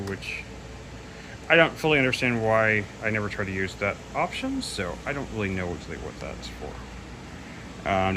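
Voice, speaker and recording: A man giving a casual, unscripted equipment demo in a loose, somewhat hesitant way, readily admitting what he doesn't know. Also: low voice. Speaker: presenter demonstrating audio equipment. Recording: clearly noisy home recording.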